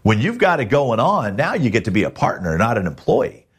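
A man hosting a podcast in a motivational, advice-giving style, emphatic and plain-spoken, with a husky voice. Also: mid-range voice, wildly swooping pitch, fairly quick. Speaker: podcast host, music manager.

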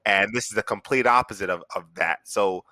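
A man's voice: lowish voice, fairly quick, pushed and bright, no room sound. A man hosting a podcast, interviewing in a casual, conversational way. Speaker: podcast host.

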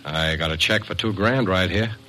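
An actor in a classic radio drama playing a worried, plain-spoken middle-aged boxing manager, earnest and slangy. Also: male voice, deep voice, normal speed, pushed and bright. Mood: urgent. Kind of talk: 1940s-50s radio drama acting.

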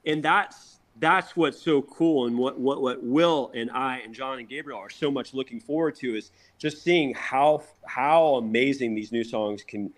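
A man's voice: medium pitch, a little slow, hardly any pauses. A middle-aged man from a rock band chatting casually in an interview, enthusiastic and slangy, often repeating his words.

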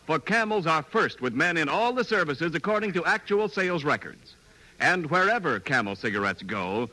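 A man delivers an old-time radio commercial with polish and emphasis. His voice is bright and fairly high, with lively rises and falls. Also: rough recording. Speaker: radio announcer. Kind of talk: 1940s radio commercial announcing.

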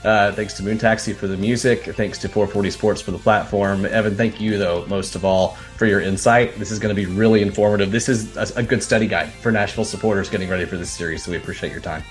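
A man's voice: lowish voice, fairly even intonation, fast, few pauses, soft background music. A man hosting a sports podcast in a casual, chatty, informal way.

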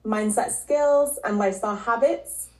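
A woman from Barbados, a podcast guest and health coach, telling her story in a relaxed, casual way with frequent ums and likes. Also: a little high, normal speed. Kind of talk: casual interview talk. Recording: echoey room.

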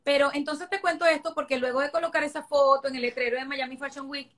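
High-voiced Venezuelan woman, emphatic. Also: fairly even intonation, fast talker, a bit bright. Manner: emphatic, repeats points for stress. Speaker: guest. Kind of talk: conversational interview.

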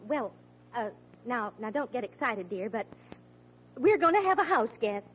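A high female voice plays a patient, placating wife in a radio sitcom. She coaxes gently and hesitantly. The sound is muffled, like vintage broadcast audio. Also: sing-song, normal speed, breathy edge. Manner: hesitant, coaxing, soothing. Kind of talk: old-time radio sitcom acting. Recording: muffled vintage radio recording, faint noise.

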